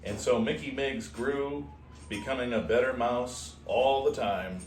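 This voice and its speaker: A man reading a children's storybook aloud expressively, in a playful manner with casual asides. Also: medium pitch. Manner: unhurried, animated, playful. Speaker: host reading a picture book aloud to shelter kittens. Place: indoor room at an animal shelter. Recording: roomy, distant mic with faint noise.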